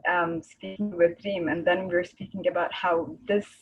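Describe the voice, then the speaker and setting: A woman's voice: on the low side, fairly even intonation, normal speed, dull audio. A woman, an Arabic speaker, chatting casually as a guest artist on a video call. She rambles and searches for words, laughing easily. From Egypt.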